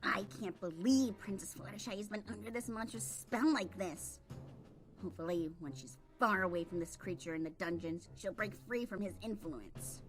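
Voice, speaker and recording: A woman voicing an anxious, dutiful cartoon pony courtier in a hushed, grave manner. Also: medium pitch, moderate pace, faint music behind, lightly acted, poor audio. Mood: worried, grave.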